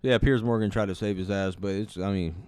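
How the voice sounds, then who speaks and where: A man's voice: fairly low pitch, steady tone, brisk, a little mellow, smooth. A man hosting a reaction video, talking in loose, profane, rambling commentary with lots of restarts. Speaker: commentary channel host.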